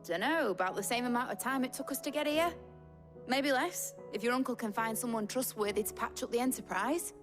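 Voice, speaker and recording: An actress playing a brash, excitable young shipwright in a video game, with a British accent and cheeky, informal speech. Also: female voice, on the high side, a little fast, over music, close to an everyday voice. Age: young adult. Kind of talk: video game cutscene acting.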